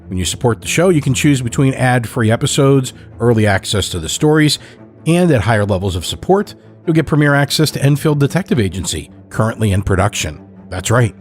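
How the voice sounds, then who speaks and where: A man hosting a horror fiction podcast and reading its stories in a measured, dramatic way. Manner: measured, dramatic storytelling with lively pitch. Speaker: podcast host and narrator. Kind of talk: scripted horror narration.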